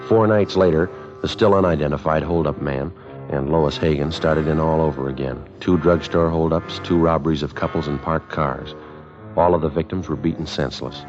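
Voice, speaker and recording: A dull vintage radio recording carries a terse American police detective with an extremely low voice. He is clipped, deadpan and businesslike, in 1950s crime drama style, with faint music around him. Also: moderate pace. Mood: matter-of-fact. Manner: clipped, matter-of-fact, deadpan. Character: terse, businesslike male police sergeant, narrating his case. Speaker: detective and narrator.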